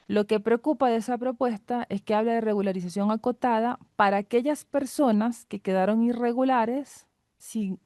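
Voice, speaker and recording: A woman's voice: medium pitch, mellow, no room sound, clean studio sound. A middle-aged woman from Venezuela speaking as an academic guest expert, giving analysis in an interview. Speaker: guest expert, political scientist and professor.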